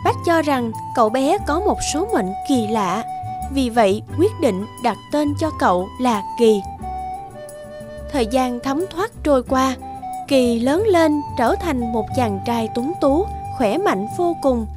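A woman's voice: high voice, lively intonation, slow pace, over music. A woman giving scripted fairy-tale narration for a children's cartoon.

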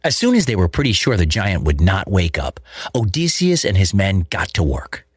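A man's voice: low voice, wildly swooping pitch, normal speed, husky, dry recording, clean studio sound. A man narrating a scripted myth for children in a dramatic, playful manner. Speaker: storyteller, host.

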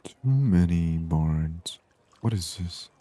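A man doing soft-spoken ASMR game commentary, murmuring and unhurried as he reads aloud. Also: low-pitched, lively intonation, soft background music. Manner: murmured, unhurried, reading on-screen text aloud. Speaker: gaming channel host.

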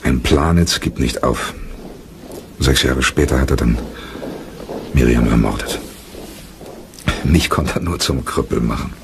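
An actor playing a bitter, dry-humoured theatre leader in a radio play, with measured delivery. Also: male voice, extremely low pitch, exaggerated voice. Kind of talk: German radio play acting. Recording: clearly noisy background.

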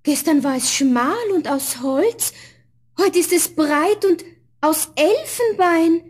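A woman's voice: extremely high pitch, animated, takes it slowly, darkish tone, exaggerated voice. An actress playing a kind, modest poor young girl in a fairy-tale audio play, earnest and artless. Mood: amazed.

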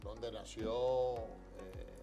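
A man's voice: fairly low pitch, fairly even intonation, very slow, over music. An older man, a doctor co-hosting a studio health show, explaining medical terms. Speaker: doctor co-host. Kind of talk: casual interview chat. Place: TV studio set. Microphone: lapel mic.

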